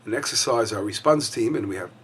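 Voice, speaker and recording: Hoarse rabbi giving a casual lesson. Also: male voice, lowish voice, fast talker. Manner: warm, conversational teaching with many fillers and restarts. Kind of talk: weekly Torah lesson.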